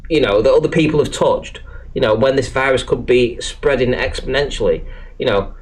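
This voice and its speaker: A man's voice: medium pitch, a little fast. A British man in casual, rambling online discussion, speaking earnestly and hesitantly with some swearing. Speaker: podcast panellist. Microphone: online group call microphone.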